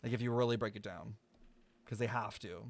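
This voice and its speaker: A man's voice: fairly low pitch, steady tone, brisk. A man giving casual live game stream commentary, musing aloud in informal, slangy speech.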